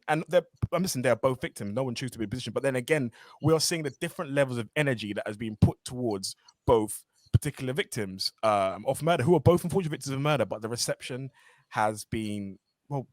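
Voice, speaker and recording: A man hosts a podcast in a loose conversational way, thinking out loud and circling back on his words. His voice swings up and down a lot and moves quickly. The recording is dry and close. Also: mid-range voice, no echo. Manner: animated, repeats himself, thinks aloud. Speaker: podcast host. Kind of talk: casual conversational interview.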